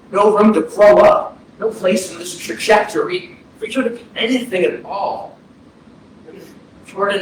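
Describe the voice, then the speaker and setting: A grieving young man, played by a male actor, speaks in a high voice with earnest, deliberate poetry. It is a staged play reading, heard from far off in a very echoey hall. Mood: wistful grief. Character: grieving thirty-one-year-old man haunted by a dead loved one. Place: arts center theatre, staged reading. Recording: distant, echoey hall with background hiss.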